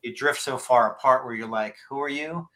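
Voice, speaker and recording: A man around fifty hosting a casual podcast interview, speaking in an earnest, rambling and halting way. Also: mid-range voice, sharp tone. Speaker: podcast host.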